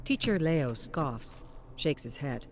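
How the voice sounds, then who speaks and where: Mid-pitched narrator reading a scripted story. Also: lively intonation, slow.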